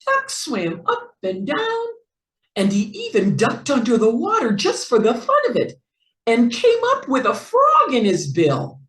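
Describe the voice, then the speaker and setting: A woman reading a children's story aloud with careful, expressive narration. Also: fairly high pitch, measured pace. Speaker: storybook reader. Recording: echoey room.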